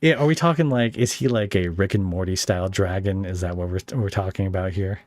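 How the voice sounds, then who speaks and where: A man with a low voice and a very dry, close sound hosts a relaxed interview. He talks in a rambling, conversational way, often feeling around for the right word. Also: fairly quick, dry recording. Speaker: podcast host and interviewer. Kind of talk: casual podcast interview.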